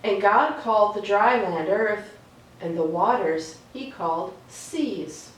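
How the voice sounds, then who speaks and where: A woman reading aloud for a children's storytime in a slow, deliberate, expressive way. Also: mid-range voice. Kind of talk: read-aloud storytime with chatty asides. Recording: echoey room, distant mic, faint noise.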